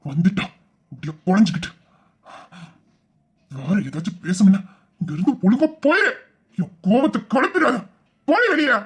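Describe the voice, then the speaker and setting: A man playing a young man who is hurt and quick to anger, scolding and exasperated in an audio drama. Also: sits high, animated. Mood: furious. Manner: scolding, exasperated, shouting at times. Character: young man, wounded and quick-tempered lover.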